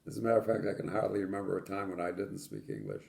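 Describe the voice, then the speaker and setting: A man's voice: deep voice, sing-song, racing, creaky, darkish tone. An older man from Poland, telling his childhood memories in an oral history interview with dry, matter-of-fact humor.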